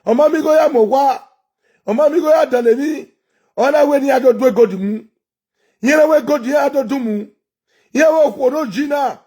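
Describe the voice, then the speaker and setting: A man, ranting loudly and repeating short chanted phrases over and over. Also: very high-pitched, moderate pace, pauses often. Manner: repetitive, shouting chants. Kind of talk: shouted rant.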